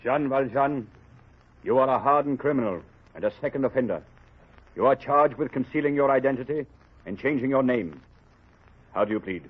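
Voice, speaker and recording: An actor playing a stern, formal judge who declaims in a 1930s radio drama. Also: male voice, medium pitch, normal speed, long pauses, a little hiss or hum, natural voice. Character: stern male judge pronouncing a harsh sentence. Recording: muffled, tinny old radio recording.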